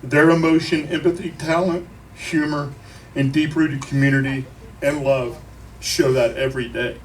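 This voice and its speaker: A grieving man delivers an emotional eulogy to a crowd, speaking deliberately, with profanity and wry humor. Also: fairly high pitch, steady tone. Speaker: eulogist at a memorial. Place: crowded bar at a memorial. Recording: noisy live room, distant mic.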